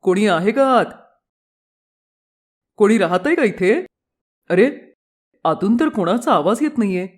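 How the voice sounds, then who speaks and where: A man's voice: sits high, lively intonation, a little slow, long pauses. A man playing an arrogant, lustful king in a storybook tale, imperious and theatrical. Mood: thirsty, searching. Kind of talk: storybook character acting.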